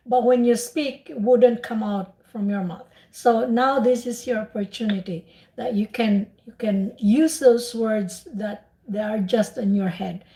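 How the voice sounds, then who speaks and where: A woman who speaks English as a second language, hosting an online speaking practice session in a slow, encouraging way. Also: a little high, warm tone, reverberant, low-quality recording. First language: not English.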